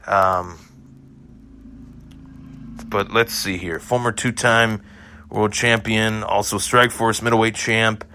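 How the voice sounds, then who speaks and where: A man hosting a solo sports podcast, hoarse and a bit hungover, rambling through fight reactions with many fillers and restarts. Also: fairly low pitch, fairly even intonation, slow pace, pauses often, bright, forceful tone, a little hiss or hum. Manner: rambling, repeats himself, frequent fillers. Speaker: podcast host.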